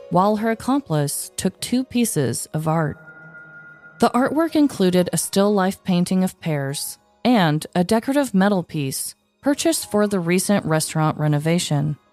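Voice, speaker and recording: Female podcast storyteller: slightly low voice, scripted and mock-dramatic narration over soft music. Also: very animated, moderate pace.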